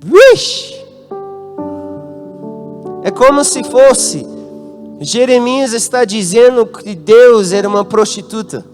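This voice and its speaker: A man's voice: very high voice, lively intonation, slow, long pauses, darkish tone, soft background music. A man from the United States, a native English speaker, preaching a youth-conference sermon with an American accent and drawing the congregation into call-and-response. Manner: interacts with the congregation, asks them to call out answers. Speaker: guest pastor. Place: church hall.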